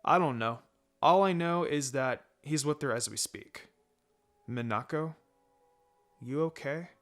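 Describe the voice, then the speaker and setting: A man's voice: medium pitch, unhurried, fairly bright tone. A man voicing a teasing young male ninja who probes his friend casually, in a story reading. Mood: probing, casual. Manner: casual, probing.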